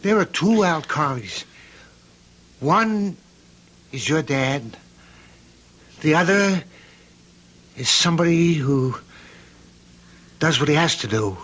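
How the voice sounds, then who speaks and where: Slow, tense crime-boss father, radio drama. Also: a little high, animated, fairly bright tone, lo-fi sound, full character voice. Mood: pleading, evasive. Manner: halting, heavy, emphatic. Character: crime-boss father, evasive, brooding, murderous when crossed. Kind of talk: old-time radio drama acting. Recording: noisy vintage radio drama recording.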